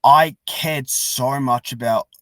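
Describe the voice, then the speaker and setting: Dry-sounding man, halting and sympathetic. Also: mid-range voice, fairly bright tone, no room sound. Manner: halting, sympathetic, leans on "like" and "you know". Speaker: podcast host. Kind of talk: casual supportive interview chat.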